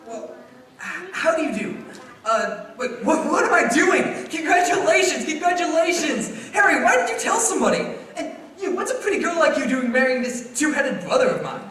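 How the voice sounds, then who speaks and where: An echoey, distant stage recording of a radio play with faint noise. A restless, awkward young small-town man played by a woman talks excitably and stammers, with a highish, very lively pitch. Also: normal speed. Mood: flustered, delighted.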